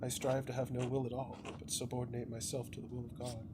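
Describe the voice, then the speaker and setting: A man voicing a devout, troubled woman mystic in a game, speaking in a solemn, halting, contemplative way. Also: mid-range voice, level tone, very noisy, natural voice. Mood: solemn, pious.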